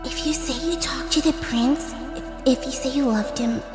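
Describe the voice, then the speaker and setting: Woman as earnest young sister, high voice. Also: moderate pace, natural voice. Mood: gentle, hurt. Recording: reverberant voice over prominent music.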